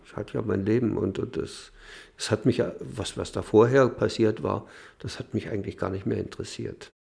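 An older man in an interview, recalling his past in a hesitant, halting way. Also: on the low side, steady tone, creaky, a little mellow. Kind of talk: interview recollection.

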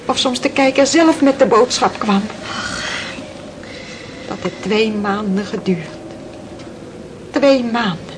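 A woman's voice: a little high, expressive, slow pace, pauses often. An actress playing a sorrowful, twice-widowed fisherman's wife in a radio drama, telling her story in plain, emotional words. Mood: anguished. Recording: noisy old radio play recording.

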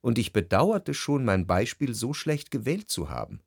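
An actor playing a melancholy, jealous lover who narrates his memories in a reflective, measured literary radio play style. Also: mid-range voice, wildly swooping pitch, creaky, slightly rough, no room sound, clean studio sound, heavily acted. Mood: brooding.

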